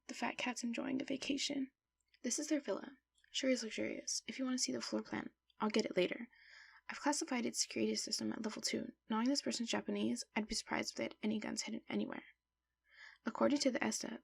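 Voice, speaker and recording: A woman performs an authoritative middle-aged principal who secretly bosses a crew in an anime-style dub. Her voice sits on the high side, very creaky and raspy. She lays out business in a measured, controlled way. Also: heavily acted. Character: middle-aged female school principal running a criminal crew, commanding.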